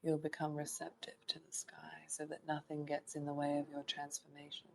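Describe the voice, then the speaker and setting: A woman leading a guided meditation in a soft, soothing voice that sometimes drops toward a whisper, in short phrases with long pauses. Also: low-pitched, monotone, creaky, poor audio. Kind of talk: guided meditation, hypnotic trance induction.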